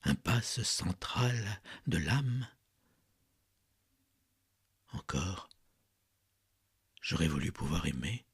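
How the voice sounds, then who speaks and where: A man giving a dramatic poetry reading in a husky, breathy, gravelly voice, theatrically swinging between hushed murmurs and forceful swells. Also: fairly low pitch, no room sound, clean studio sound. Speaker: poetry reader.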